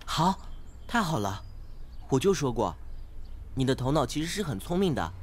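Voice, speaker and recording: A young man acting an earnest, polite, slightly naive studious teenage boy in an audio drama, gentle and encouraging. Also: mid-range voice, sing-song, normal speed. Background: background music.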